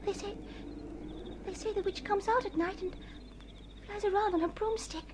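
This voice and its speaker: A woman's voice: extremely high pitch, fairly even intonation, moderate pace, background noise. A woman playing a timid nine-year-old girl in a radio drama, nervous and easily frightened. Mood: uneasy. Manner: nervous, hesitant.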